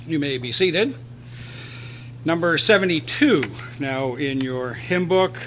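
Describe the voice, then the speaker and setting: A man leading a church service, quietly announcing hymn numbers and thanking the musicians in a sanctuary. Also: a little high, animated, takes it slowly, a little hiss or hum, low-quality recording. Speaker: song leader. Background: instrumental music.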